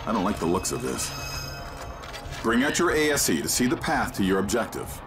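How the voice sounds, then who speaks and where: A calm mission handler. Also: male voice, lowish voice, fairly quick. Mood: guiding. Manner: steady, instructive, guiding. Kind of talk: video game cutscene voice acting. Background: game score music.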